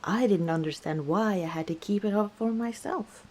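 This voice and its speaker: A woman playing a guarded, gently wry orc tavern owner in an intimate ASMR roleplay, speaking reflectively with hesitant pauses. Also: mid-range voice, close to an everyday voice. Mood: wry, easygoing. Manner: unhurried, reflective, hesitant pauses, soft chuckles.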